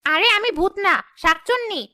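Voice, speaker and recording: Woman voicing a very high-pitched greedy river witch-ghost. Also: animated, normal speed, pushed and bright, soft background music, exaggerated voice. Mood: menacing. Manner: scheming, talking to herself. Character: greedy, sly female river witch-ghost of Bengali folklore. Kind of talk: animated folk tale character acting.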